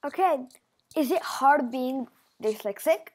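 A woman's voice: high voice, animated, measured pace. A woman interviewer asking short, plain questions on a video call.

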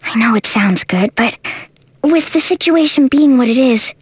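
A woman's voice: high voice, lively intonation, moderate pace, slightly rough, no echo, exaggerated voice. A woman playing a level-headed young adventurer in a game, wry and teasing in her delivery. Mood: subdued.

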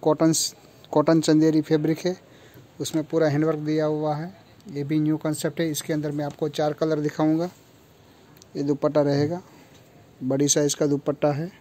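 Young male seller from India, monotone delivery, mellow, slightly high voice, phone recording in a shop. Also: moderate pace, long pauses. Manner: flat, matter-of-fact listing of features. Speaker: kurti factory seller. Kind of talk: product showcase walkthrough. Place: clothing store with fabric shelves.

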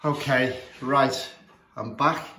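A man's voice: mid-range voice, slow, sharp tone. A man with a Liverpool accent, a clock repairer, talking casually to camera about a clock, hesitant with many fillers and restarts. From Liverpool, England. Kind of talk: casual show-and-tell commentary. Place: room full of clocks, indoors. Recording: echoey room with faint noise.

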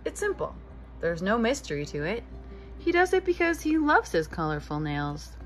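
A woman reads a picture book for preschoolers in the manner of a teacher. Her delivery is expressive and lively in pitch, aimed at small children. Faint music plays along with her voice. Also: normal speed. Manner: expressive, addressing young children. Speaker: preschool teacher reading aloud. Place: living room with couch, indoors.

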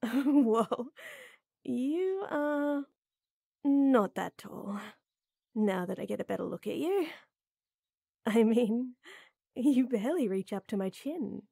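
A woman playing a tall, strong lady knight who flirts and teases softly and coyly in a roleplay audio drama. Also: a little high, creaky, no room sound, studio quality, exaggerated voice. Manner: teasing, coy, soft and intimate with chuckles.

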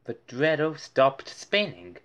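A woman's voice: a bass voice, sing-song, takes it slowly, dull audio. A woman reading a children's storybook aloud as narration. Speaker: storybook reader.

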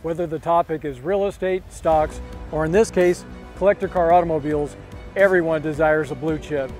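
A man's voice: on the high side, normal speed, darkish tone, soft background music. A middle-aged man working as a show host, doing scripted introductions and interviews. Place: open tented car auction showroom. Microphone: lapel.

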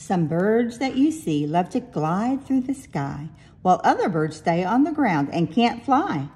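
Lady reading rhyming kids book out loud, soft mellow tone, slow and expressive. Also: mid-range voice. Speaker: picture-book reader. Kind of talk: storybook read-aloud in rhyming verse.

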